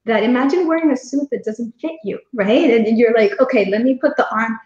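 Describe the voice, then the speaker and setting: A woman's voice: fairly high pitch, a little fast. Woman, speaking coach, interview guest, storytelling, echoey room, muffled sound. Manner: warm storytelling, frequent affirming murmurs. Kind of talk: casual interview chat. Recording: echoey, dull remote audio.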